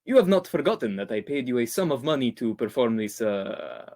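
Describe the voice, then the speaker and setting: A man's voice: mid-range voice, sing-song, fairly quick, a little mellow, close to an everyday voice. A man playing a suave, scheming doctor in an old-time radio drama, courteous and slyly theatrical. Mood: guarded, menacing. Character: suave, scheming male doctor who hires killers.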